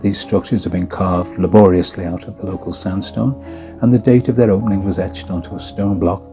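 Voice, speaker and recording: A man reading his own ghost story in a measured, solemn way. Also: sits low, steady tone. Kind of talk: scripted ghost story narration. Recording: guitar music behind the voice. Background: plucked guitar music.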